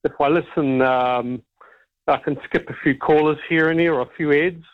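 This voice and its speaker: A man's voice: a little high, steady tone, normal speed. A man calling in to a radio show, chatting in a laid-back, wry way. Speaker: caller. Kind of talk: casual radio call-in chat. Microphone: telephone. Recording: tinny phone line.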